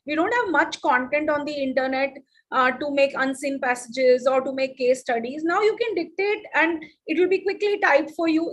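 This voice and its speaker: Middle-aged Indian woman trainer, high voice, lively, quizzes her audience. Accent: Indian English. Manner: lively, addresses the audience with questions. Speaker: teacher trainer. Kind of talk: live teacher workshop.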